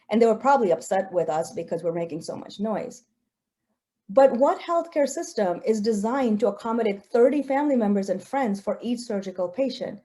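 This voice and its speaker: A woman's voice: mid-range voice, warm tone. A woman in her forties, a researcher whose first language is Gujarati, giving an earnest, personal talk with slides. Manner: earnest, personal, with occasional fillers.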